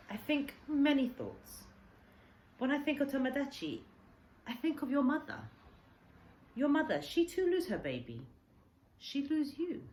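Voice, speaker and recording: A woman voicing an earnest, gentle, sorrowful old Japanese man in a children's storybook, speaking halting, simple broken English. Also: fairly high pitch, voice pushed up. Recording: echoey room, faint background noise.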